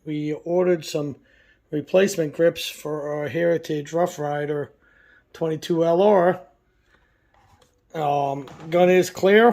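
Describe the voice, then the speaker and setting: A man, hoarse from a cold, hosting a casual product review vlog in a relaxed, folksy way full of fillers. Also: a little high, slow, pauses often. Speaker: channel host.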